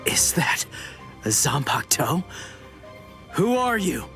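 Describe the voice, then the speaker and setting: A high-voiced, brash teenage hero. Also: male voice, very animated, takes it slowly, pushed and bright, faint music behind, full character voice. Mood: startled, wary. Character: hot-headed, stubborn teenage male Soul Reaper. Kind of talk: English anime-game dub acting.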